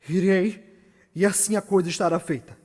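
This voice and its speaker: A man's voice: sits high, fairly even intonation, darkish tone. A Brazilian man playing a tormented, guilt-ridden, ambitious murderer in a solemn, declamatory Shakespearean stage monologue. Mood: anguished, frenzied. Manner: declamatory, solemn, dramatic pauses. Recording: echoey hall with long reverb.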